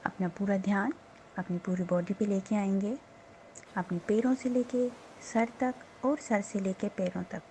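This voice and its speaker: A woman meditation instructor leading a slow guided relaxation in a soothing, unhurried, gently instructing manner with pauses. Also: mid-range voice, slight background noise, poor audio.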